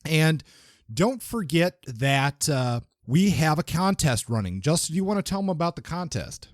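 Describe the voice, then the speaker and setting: A man's voice: on the high side, very animated, normal speed, no room sound. Male podcast host, dry close sound. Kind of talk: casual podcast talk with announcements to listeners.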